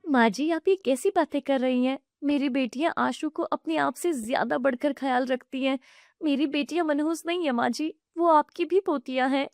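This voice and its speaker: Cartoon role: a woman doing a wronged young mother, soft and pleading, high voice. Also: moderate pace, no echo, studio quality. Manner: pleading, earnest.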